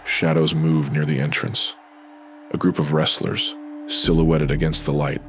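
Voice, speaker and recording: A man narrating a horror anthology in an ominous, measured pulp radio-drama style, in short dramatic phrases. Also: extremely low pitch, level tone, a bit bright. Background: music.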